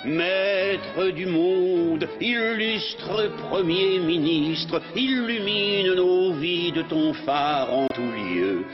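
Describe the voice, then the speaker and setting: A man's voice: sits high, lively intonation, drawn-out words, hardly any pauses, full character voice. A man voicing a pompous, cowardly bad poet in a French cartoon, overblown and bombastic. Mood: pompous, unctuous. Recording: dull sound, loud music, crowd.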